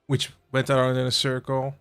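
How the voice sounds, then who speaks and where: A young man from the Netherlands hosting a relaxed game livestream, rambling with lots of fillers and asides to his chat. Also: mid-range voice, fairly quick, clean tone. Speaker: livestream host. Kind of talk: casual live-stream chat while playing a game.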